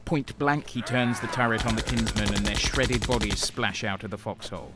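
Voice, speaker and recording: A man's voice: fairly low pitch, fairly even intonation, normal speed, heavy background noise, close to an everyday voice. A man playing a hard-bitten, ruthless renegade soldier, narrating his war story intensely in the first person. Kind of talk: first-person dramatic narration, audio drama.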